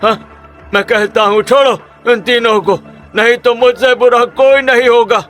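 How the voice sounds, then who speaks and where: A man's voice: extremely high pitch, fairly even intonation, moderate pace, a bit bright, faint music behind, voice pushed up. A man voicing an angry old village father in a cartoon story.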